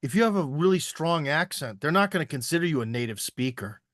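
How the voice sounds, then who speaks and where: A man's voice: fairly high pitch, expressive, a bit bright, no echo, studio quality. A man who is the guest on an interview over a video call, answering in an easygoing, agreeable way. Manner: easygoing, agreeable, quick back-and-forth replies. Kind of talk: casual interview chat.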